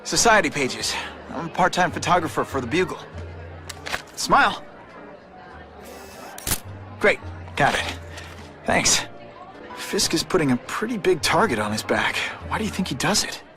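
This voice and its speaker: Young american superhero from a video game, quippy n kinda awkward, high lively voice. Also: male voice, a little slow, long pauses, soft background music, exaggerated voice. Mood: inquisitive. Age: young adult. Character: wisecracking, nervy young superhero and newspaper photographer.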